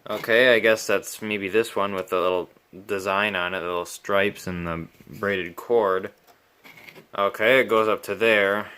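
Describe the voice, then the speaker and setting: A man giving casual, unscripted commentary as he repairs a vintage radio, thinking aloud in a halting, musing way. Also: on the low side, fairly even intonation, pushed and bright, smooth.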